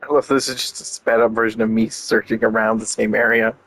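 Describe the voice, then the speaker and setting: A man's voice: fairly low pitch, little pitch movement, fairly quick, a bit bright, low-quality recording. A man giving casual, unscripted commentary as he plays a video game, with music behind him. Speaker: gameplay streamer. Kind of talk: casual game commentary, reacting and reading aloud.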